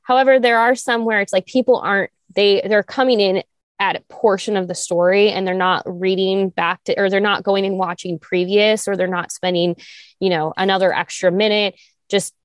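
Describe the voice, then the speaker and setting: A young woman co-hosting a talk show, speaking candidly with vocal fry, lots of fillers, and sharp jumps up in pitch when she makes a point. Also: moderate pace, no room sound, clean studio sound. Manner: animated, restarts and fillers, rises high when emphatic. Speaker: podcast co-host. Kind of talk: candid conversational chat.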